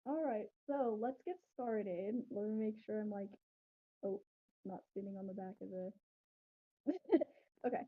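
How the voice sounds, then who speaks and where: A woman's voice: mid-range voice, a little slow, pauses often, breathy edge. Woman, interview host, chatty, laughs a lot, tinny phone-line audio. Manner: chatty, laughs often, loose filler words. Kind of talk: casual interview over voice chat.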